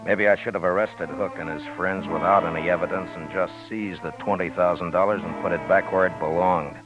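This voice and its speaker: A man playing a stern, deadpan American frontier marshal in a 1950s radio western, both in dialogue and as narrator. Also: deep voice, a little fast, natural voice. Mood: grave narrating. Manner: laconic, deadpan. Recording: dull 1950s radio recording, music behind.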